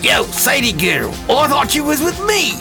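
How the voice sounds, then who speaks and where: A woman voicing rough, combative male soldiers in a radio play, talking tough and slangy. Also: high voice, big pitch swings, normal speed, music in the background, heavily acted. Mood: brash. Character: rough brawling male soldiers, a sergeant and a sailor.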